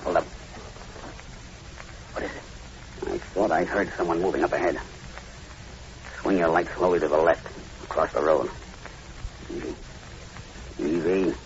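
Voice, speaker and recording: Low-voiced, commanding man of action. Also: steady tone, measured pace, long pauses. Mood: wary, firm. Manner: curt, commanding, urgent. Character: commanding, fearless man hunting the undead. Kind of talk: old-time radio horror drama acting. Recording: muffled, hissy old radio recording.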